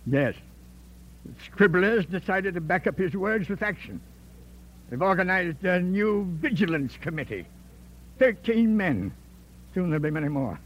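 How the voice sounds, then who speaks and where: A man's voice: high-pitched, very animated, full character voice. An actor playing a smug, corrupt man of power in an old radio drama, insinuating and menacing, often ending on a questioning "eh?". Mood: sly gloating. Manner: slow, insinuating, punctuates with "eh?". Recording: muffled, noisy old radio recording with hum.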